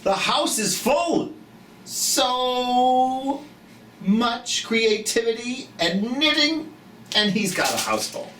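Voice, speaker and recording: A children's storytime host reading a picture book aloud, slowly and expressively, with chatty asides to young listeners. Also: medium pitch. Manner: slow, expressive, speaking directly to children. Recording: roomy, distant mic, background noise.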